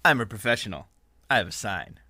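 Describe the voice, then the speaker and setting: A man giving dry, deadpan hard-boiled detective narration in a comic noir parody. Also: fairly low pitch, fairly quick, a little hiss or hum.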